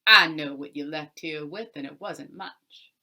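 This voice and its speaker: Woman playing a loud, blustering greedy landowner. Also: sits low, unhurried, full character voice. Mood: smug, bossy. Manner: loud, bossy, spluttering when thwarted. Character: blustering, greedy, scheming male farm landowner.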